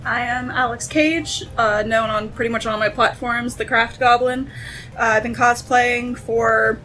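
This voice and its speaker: Woman on a panel just chatting, voice a bit high, soft music behind. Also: steady tone, measured pace. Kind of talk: casual chat.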